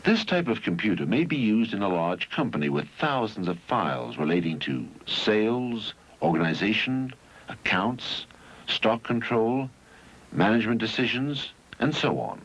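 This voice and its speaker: A man reading scripted educational film narration in a measured, didactic way with deliberate phrasing, on a 1971 film soundtrack. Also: on the low side, sing-song, slightly rough, low-quality recording.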